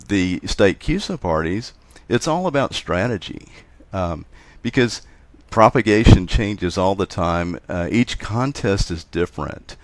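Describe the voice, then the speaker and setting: A man giving a casual, halting explanation as an interview guest, repeating words for emphasis. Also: deep voice, no echo. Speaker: interview guest, amateur radio contest operator.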